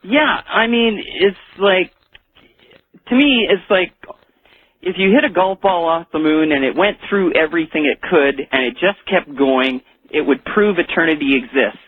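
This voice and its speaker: A man calls a radio show over a thin telephone line. His voice sits somewhat high for a man, and he speaks haltingly, wandering through his thoughts. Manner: halting, rambling, hesitant. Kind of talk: casual phone-in conversation. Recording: tinny phone line.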